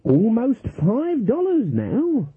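A man's voice: very high voice, huge pitch swings, slow pace, husky, pitched above the performer's own voice, full character voice. A man doing a dithering old witch woman. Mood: fluttery. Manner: dithering, fluttery. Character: old woman witch feigning sweet innocence. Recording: thin phone-like sound.

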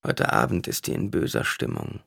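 A man's voice: on the low side, very animated, brisk, bright, forceful tone, breathy edge, dry recording, clean studio sound, heavily acted. A man voicing a disgruntled woman in a crowd for an audiobook, muttering gossip. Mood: muttering, disapproving.

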